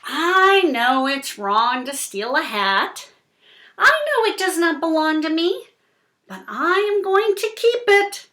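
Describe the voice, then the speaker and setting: A woman voicing a small, boastful fish thief in a picture book, confiding in a deliberate storybook delivery. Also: very high-pitched, big pitch swings, sharp tone, slight background noise, voice pushed up, full character voice. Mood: smug. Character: small sneaky fish, boastful, self-assured hat thief. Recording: roomy, echoing indoor sound.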